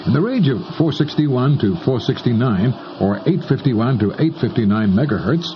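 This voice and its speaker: A man's voice: medium pitch, a little hiss or hum. A man reading a scripted instructional narration, explaining things and addressing the listener directly. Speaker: narrator of an instructional shortwave radio tape. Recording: dull lo-fi cassette tape, dry.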